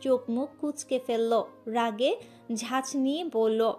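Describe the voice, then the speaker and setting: A woman narrates a romantic audio story from a script. Her voice sits high in pitch. Faint music plays under the narration. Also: steady tone, a little slow, dark tone.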